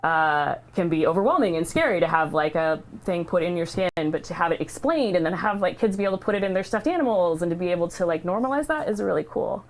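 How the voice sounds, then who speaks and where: Woman, livestream host. Slightly low pitch, candid and heartfelt, feels her way through sentences haltingly. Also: animated, slight background noise. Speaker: charity livestream host sharing her cancer treatment story. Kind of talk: casual, heartfelt unscripted talk.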